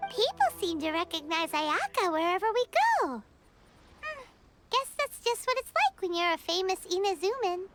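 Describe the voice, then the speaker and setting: A woman's voice: very high-pitched, expressive, moderate pace. A woman voicing a tiny, bubbly female companion creature in a video game, chirpy and speaking of herself in the third person. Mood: curious. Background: game music.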